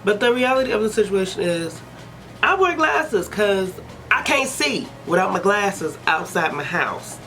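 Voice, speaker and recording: A woman's voice: mid-range voice, big pitch swings, unhurried, a bit bright, soft background music, poor audio. A woman giving a casual hair tutorial, chatty and joking, sniffly with a head cold. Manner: chatty, joking, talks to herself. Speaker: hair vlogger.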